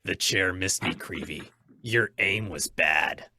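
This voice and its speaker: A man's voice: sits low, slow, bright, forceful tone, slight background noise, exaggerated voice. A man acting a stern, commanding masked vigilante in an old-time radio drama, speaking in firm, menacing declarations. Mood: stern, accusing. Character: masked male crime-fighting vigilante, stern, commanding, resolute.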